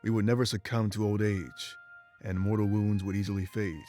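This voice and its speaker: An actor playing an ancient, remorseful immortal warrior in a video game, speaking in a solemn, measured, bitter way. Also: male voice, lowish voice, flat delivery, a little mellow, soft background music, natural voice. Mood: resigned. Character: ancient immortal former rebel warrior, remorseful and weary man. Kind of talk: dramatic game voice acting, confessional monologue.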